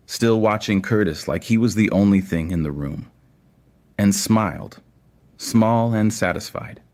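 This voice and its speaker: A man with a deep voice narrates a scripted story. His delivery is measured and literary, made for an audio anthology. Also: a little mellow.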